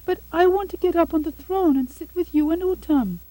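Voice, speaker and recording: A woman's voice: extremely high pitch, fairly quick, dark tone, no echo, low-quality recording. A woman voicing a proud, hurt young boy prince with great determination in a puppet show. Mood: pleading. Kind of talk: puppet show dramatic acting.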